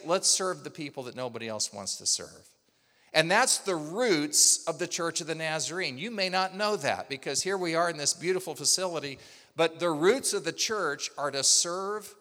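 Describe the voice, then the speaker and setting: A man's voice: fairly high pitch, expressive, normal speed, sharp tone. A man from Oklahoma preaching a sermon as pastor, telling a story and asking the congregation questions. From Oklahoma, USA. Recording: long hall reverb.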